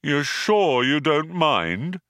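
Storybook robot male reader: depressed, low dragging drone, self-pitying, dry sound. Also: no room sound, heavily acted. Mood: pathetic, needy. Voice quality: low, droning. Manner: slow, dejected, flat delivery.

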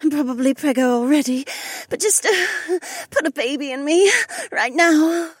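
A woman playing a high-pitched, coy young temptress. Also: wildly swooping pitch, unhurried, no echo, studio quality, full character voice. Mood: pleading, aroused.

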